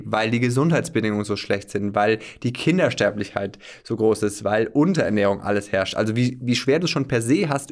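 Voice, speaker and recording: A German man hosting a podcast in casual chat, joking and rambling with many fillers and restarts. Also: mid-range voice. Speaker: podcast host.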